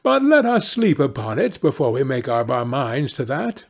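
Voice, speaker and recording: A man's voice: mid-range voice, big pitch swings, moderate pace, vocal fry. A man voicing a greedy, domineering fisherman's wife in a fairy-tale audiobook, imperious and demanding, stretching out the words. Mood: bossy.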